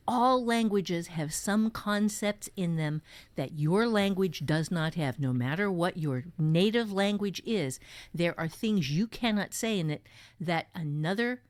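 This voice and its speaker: A woman teaching a workshop in a conversational, enthusiastic way, explaining step by step with emphatic, expressive delivery. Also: mid-range voice, dry recording. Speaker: writing teacher and workshop host.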